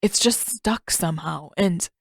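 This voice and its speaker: A woman voicing a gloomy, awkward teenage boy prince in a narrated story, with a dry, halting, grudging manner. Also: fairly low pitch, creaky, no room sound. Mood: sullen.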